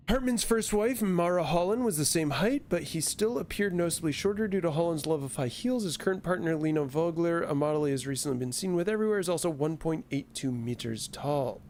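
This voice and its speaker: Male game streamer, slightly high voice, wry and a little sweary, casual read-aloud commentary, some noise behind. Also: a little mellow. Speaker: streamer playing a horror game.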